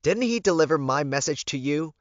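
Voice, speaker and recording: Man putting on an angry, high-pitched entitled woman. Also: animated, a little fast, no room sound, lightly acted. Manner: indignant, raised, spitting delivery. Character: demanding, entitled, angry woman, pack Luna. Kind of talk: audiobook character voice. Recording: muffled, dull recording.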